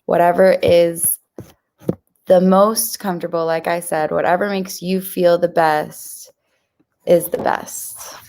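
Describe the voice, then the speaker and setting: Female meditation guide, unhurried and slightly low-pitched, gentle and reassuring, informal instructor tone. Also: fairly even intonation. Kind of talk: guided mindfulness meditation, addressed to viewers.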